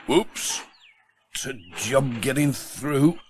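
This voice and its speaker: A man's voice: mid-range voice, slow pace, background noise, voice pushed up, lightly acted. A man voicing a flustered, grumbling farmer in a children's storybook audiobook. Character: flustered male farmer on a tractor, grumbling, stuck.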